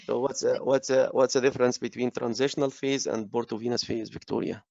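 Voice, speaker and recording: Hoarse guy losing his voice, halting q&a on a muffled video call, dry sound. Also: mid-range voice, fairly even intonation, a little mellow, no echo. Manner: halting, many restarts and fillers. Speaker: course director and moderator. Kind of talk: online lecture Q&A. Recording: dull muffled web call audio.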